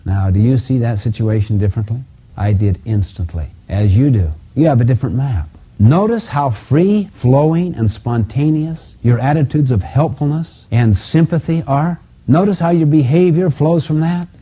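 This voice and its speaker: A man giving a motivational teaching talk, speaking emphatically and deliberately and repeating key phrases as a self-help speaker. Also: medium pitch, mellow, husky. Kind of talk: motivational teaching lecture with personal anecdotes. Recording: dull, lo-fi audio.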